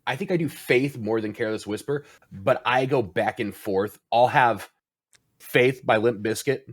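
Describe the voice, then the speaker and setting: A man's voice: mid-range voice, moderate pace. A man co-hosting a gaming podcast in casual chat, laid-back and joking, often laughing mid-sentence. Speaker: podcast co-host.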